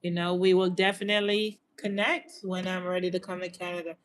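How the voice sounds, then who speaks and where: A woman's voice: fairly low pitch, little pitch movement, fairly quick. A woman with a slight Jamaican accent chatting casually on a livestream, reading out viewer comments and answering them. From United States. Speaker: host.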